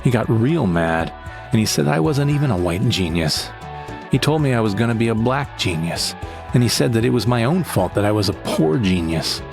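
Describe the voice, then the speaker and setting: A man's voice: on the low side, sing-song, moderate pace, gravelly, over music. A man playing a frightened, bitter victim who recounts his ordeal in plain, short sentences for an audio drama monologue. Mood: distressed. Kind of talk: first-person scripted audio drama monologue.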